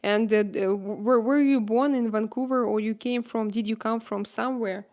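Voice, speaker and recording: A woman, not a native English speaker, hosting a live chat with viewers and speaking hesitantly with many pauses to find her words. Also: fairly high pitch, fairly even intonation, a little mellow. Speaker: livestream host chatting with viewers. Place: home in Spain.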